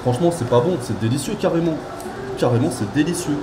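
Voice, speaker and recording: A man's voice: on the high side, expressive, fast talker. A man chatting casually as he reviews food, repeating phrases for emphasis. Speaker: vlog host tasting food. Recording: roomy echo, music over voice. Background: music.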